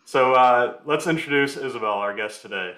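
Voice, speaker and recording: A man hosting an interview podcast, his mid-pitched voice ringing in a roomy space. He introduces his guest with a friendly, loose delivery and sprinkles in fillers as he asks questions. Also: normal speed, sharp tone. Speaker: podcast host. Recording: roomy echo.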